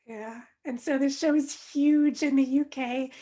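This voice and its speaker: Female interviewer, notably high voice, conversational questions over a video call. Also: steady tone, unhurried, dark tone. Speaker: entertainment interviewer.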